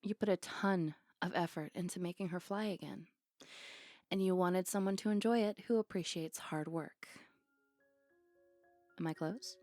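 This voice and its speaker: A woman's voice: fairly low pitch, normal speed, pauses often, a little mellow, no room sound, studio quality, soft background music, lightly acted. A woman playing a flirty, playful, affectionate barista on a date in an intimate, teasing ASMR roleplay. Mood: warm, sincere.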